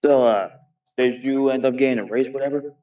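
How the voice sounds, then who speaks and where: A man's voice: medium pitch, a little fast. Curious man on a muffled, distant line. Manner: curious, asks lots of questions, repeats himself. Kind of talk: casual chat.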